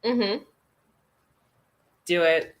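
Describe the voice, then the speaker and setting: A woman's voice: medium pitch, very slow. Woman, livestream co-host. Blunt, sweary, sassy banter in a mid-range voice.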